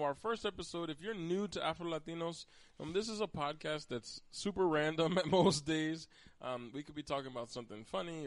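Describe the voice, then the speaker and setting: American dude podcast host, chatty n teasing, mid pitch, no room echo. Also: male voice. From Pennsylvania, United States. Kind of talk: casual conversational podcast chat.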